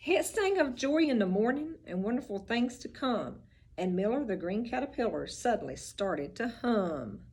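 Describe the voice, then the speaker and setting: A teacher reading a picture book aloud, with expressive storybook delivery and little asides about the pictures. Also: female voice, a little high, normal speed, a little hiss or hum.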